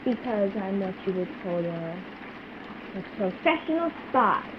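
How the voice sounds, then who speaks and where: A woman playing a frightened, secretive spy on the run in a radio play, pleading and urgent in halting phrases. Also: mid-range voice, very animated. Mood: anxious confession. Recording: tinny phone-like video call, faint noise.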